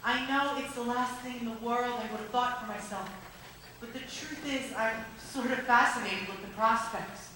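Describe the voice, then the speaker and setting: A teenage girl playing an earnest, playful young gentleman in love in a high school stage musical. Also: moderate pace, distant mic, noisy background, lightly acted. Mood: wistful, earnest. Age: teens. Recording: echoey stage recorded from afar. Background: musical accompaniment.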